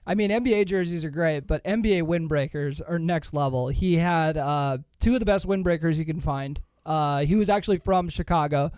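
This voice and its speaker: A man in his thirties with a high voice talks in a relaxed, rambling way and leans on lots of fillers. The sound is dry and close, with no room sound. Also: a little fast, hardly any pauses, clean tone, dry recording. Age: 30s. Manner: laid-back, chatty, many fillers. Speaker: podcast guest. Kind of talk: casual chat.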